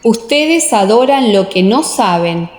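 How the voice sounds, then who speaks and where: A woman narrating a scripture audiobook in a measured, solemn, scripted reading style. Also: a little high, animated.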